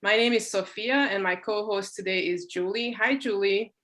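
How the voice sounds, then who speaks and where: A woman's voice: medium pitch, moderate pace, fairly bright tone. A young woman hosting an interview podcast in an upbeat, friendly way. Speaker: podcast host.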